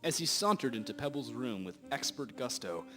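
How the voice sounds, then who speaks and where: A man's voice: mid-range voice, animated, moderate pace. A man narrating a scripted comic radio drama in a mock-dramatic storytelling manner. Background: music.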